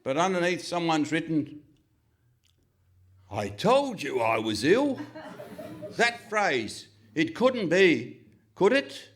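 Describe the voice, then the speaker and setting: Excitable investigator hero, radio comedy. Pompous declaiming, very animated, unhurried. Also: male voice, fairly high pitch. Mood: alarmed. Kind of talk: Goon Show style radio comedy acting. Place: live stage performance in a hall.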